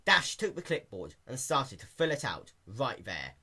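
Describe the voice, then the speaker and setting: A man narrates fan fiction in an amateur, scripted reading. His voice is bright and sharp, with hugely varied intonation. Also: medium pitch, very animated, measured pace, husky.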